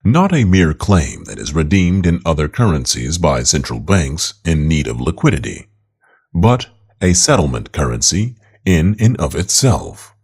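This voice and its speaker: Male, very low pitch, lively melodic range, scripted article narration. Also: measured pace, creaky, slightly rough. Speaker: narrator reading an article aloud.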